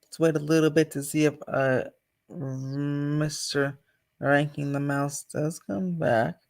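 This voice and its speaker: A man's voice: a little high, little pitch movement, slow pace, gravelly. A man hosting a casual livestream, chatting off the cuff and addressing viewers. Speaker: channel host.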